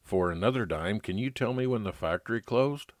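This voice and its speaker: A man's voice: on the low side, animated, fairly quick, no room sound, clean studio sound, lightly acted. A man playing a wry, world-weary private investigator in an old-time radio noir detective drama. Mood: polite, probing.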